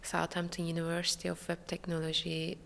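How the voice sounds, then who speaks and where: Slow, gravelly young woman from Turkey. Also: fairly low pitch, monotone, fairly bright tone. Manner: hesitant non-native English with many fillers. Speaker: international student interviewee. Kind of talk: casual interview answers. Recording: hall reverb with faint ambience.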